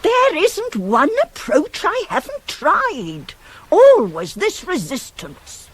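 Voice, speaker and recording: A woman's voice: very high voice, wildly swooping pitch, exaggerated voice. An actress playing a devoted, worldly-wise older nurse to a queen in a radio Greek tragedy, theatrical and insistent. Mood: determined, coaxing. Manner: theatrical, insistent, measured, weighty phrasing. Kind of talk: 1980s radio drama acting of Greek tragedy.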